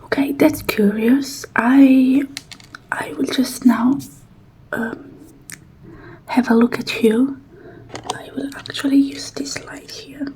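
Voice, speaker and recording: A woman whispering softly and breathily in an ASMR roleplay as a technician, with a gentle, reassuring and unhurried manner. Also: high voice, fairly even intonation, poor audio.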